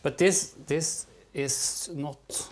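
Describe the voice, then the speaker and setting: Excitable man in his sixties. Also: a little high, extremely slow, slight background noise. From Norway. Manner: excitable, laughs often, bickering. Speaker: co-host. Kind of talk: casual baking chat. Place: home kitchen, indoors. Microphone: unseen mic, medium-distance camera.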